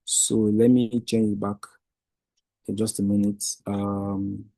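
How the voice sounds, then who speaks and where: A man's voice: on the low side, little pitch movement, takes it slowly, vocal fry, warm tone, dry recording. A man whose first language is Igbo, patiently walking viewers step by step through a screen-share tutorial. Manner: patient step-by-step explaining with restarts. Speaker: Wikidata trainer.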